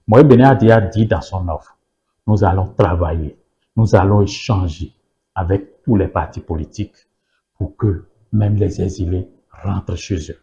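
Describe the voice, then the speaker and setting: A man's voice: low voice, normal speed, dark tone, lo-fi sound. Yoruba-speaking guy on a politics interview, pushy, repeats himself, keeps asking "you see?". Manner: emphatic, repeats phrases, rhetorical questions. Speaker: political party guest.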